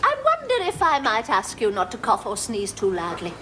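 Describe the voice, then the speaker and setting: An actress playing a pretentious, domineering middle-aged English housewife in a sitcom, with an affected, plummy voice. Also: female voice, fairly high pitch, huge pitch swings, normal speed, very noisy. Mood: bossy. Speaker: sitcom character.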